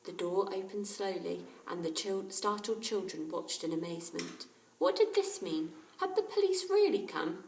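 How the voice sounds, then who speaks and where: A woman reading a children's story aloud in an expressive, animated narrator's style. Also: medium pitch, normal speed, creaky. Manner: animated character voices and exclamations. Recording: roomy echo, distant microphone.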